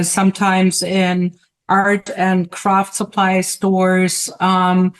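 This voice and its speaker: A middle-aged woman with a German accent, speaking in an ordinary middle register. She talks in a relaxed, conversational way, often pausing to find her words. The sound is that of a video call. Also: medium pitch. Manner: hesitant, pauses often to search for words. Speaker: guest artist and teacher. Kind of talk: casual interview chat.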